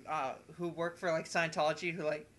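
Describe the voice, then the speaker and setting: A man's voice: fairly high pitch, flat delivery, a little fast, a bit bright. A man chatting casually on a podcast in a rambling way, peppering his sentences with "like". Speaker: podcast host. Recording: clearly noisy home recording.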